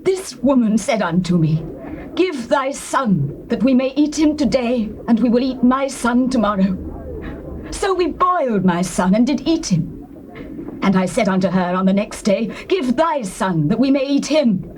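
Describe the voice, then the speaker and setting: Desperate starving mother, shrill and anguished, voice swooping high, faint tape hiss. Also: animated, measured pace, darkish tone. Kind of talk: dramatized scripture reading.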